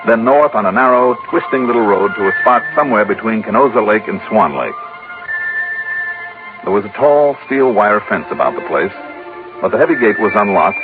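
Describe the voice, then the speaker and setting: An actor playing a wisecracking insurance investigator who narrates, in 1950s radio drama style on an old broadcast. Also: male voice, fairly low pitch, narrow, tinny audio, natural voice. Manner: wisecracking, easygoing. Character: wry hard-boiled male insurance investigator. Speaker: narrator and lead.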